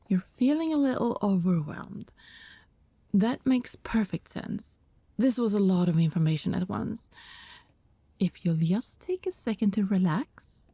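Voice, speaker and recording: A woman playing an anxious, apologetic vampire neighbor in an ASMR roleplay, soft and hesitant, often whispering, with politely formal wording. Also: a little high, vocal fry, slightly rough, dry recording, full character voice. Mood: hurt, pleading, then flustered, calming.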